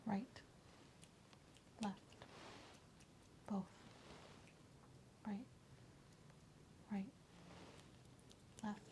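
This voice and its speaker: A woman playing the patient in a soft-spoken ASMR exam role-play, giving brief, quiet answers close to a whisper. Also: medium pitch, little pitch movement, extremely slow, pauses often, slight background noise, poor audio.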